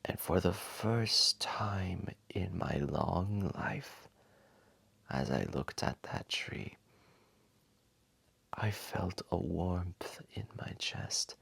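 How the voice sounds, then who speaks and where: A man voicing an ancient, massive dragon, wise, gentle and remorseful, telling a solemn story to one listener in a gravelly, breathy half-whisper. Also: low-pitched, expressive, exaggerated voice. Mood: tender, wistful. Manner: solemn, deliberate, tender. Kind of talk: slow dramatic storytelling to a single listener.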